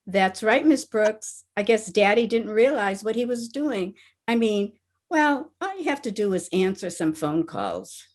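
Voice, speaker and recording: A woman playing an eager, excitable teenage schoolgirl in a 1950s-style radio comedy. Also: medium pitch, measured pace. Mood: chirpy.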